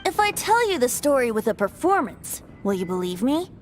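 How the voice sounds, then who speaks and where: A woman's voice: a little high, big pitch swings, brisk. A woman voicing a cheeky, youthful male bard in a video game, breezy and teasing with a theatrical way of talking. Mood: sly, playful. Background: background music.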